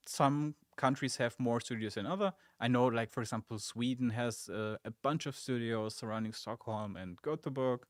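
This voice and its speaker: A man's voice: medium pitch, no room sound, studio quality. Chatty young German man on a livestream. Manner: chatty and relaxed, with many fillers and asides. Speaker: social media community manager and stream host. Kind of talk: casual livestream chat reading viewer comments. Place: game studio office.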